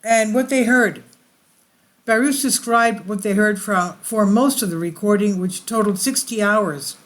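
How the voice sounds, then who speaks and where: A woman's voice: medium pitch, unhurried. A woman hosting her channel, reading an article aloud and often stumbling and correcting herself mid-sentence. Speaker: channel host.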